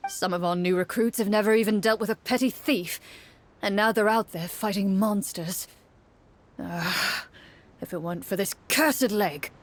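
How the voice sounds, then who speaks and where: A woman's voice: medium pitch, normal speed, natural voice. A woman voicing a weary, worried, grudging wounded male guard as she reads game dialogue aloud. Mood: frustrated. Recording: clear background noise.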